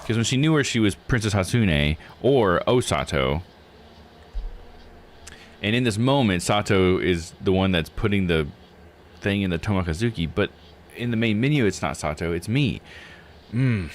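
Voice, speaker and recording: A man's voice: fairly low pitch, animated, normal speed, slight background noise. A man giving casual live game commentary, thinking aloud in a musing, self-questioning way.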